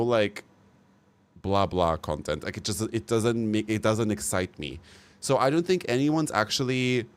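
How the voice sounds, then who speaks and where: Low-voiced man ranting on stream. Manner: blunt, opinionated ranting; swears casually. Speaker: livestreamer. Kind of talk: casual live-stream commentary.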